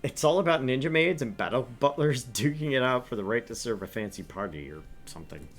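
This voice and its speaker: A man streaming a visual novel, reading narration aloud and chatting with his co-host, animated and quick to laugh. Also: mid-range voice, faint music behind. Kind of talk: game stream reading and chat.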